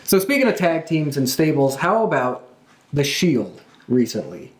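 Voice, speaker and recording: A man's voice: on the high side, very animated, moderate pace, roomy sound, crowd noise. A man hosting a casual wrestling podcast, chatting with co-hosts in conversational style. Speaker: podcast host.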